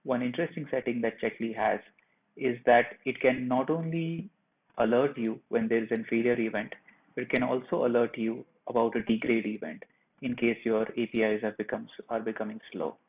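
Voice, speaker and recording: Gravelly man on a phone-like recording. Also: mid-range voice, fairly even intonation, moderate pace. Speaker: software tutorial presenter. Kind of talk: step-by-step screen-share tutorial narration. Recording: muffled phone-like narrow band.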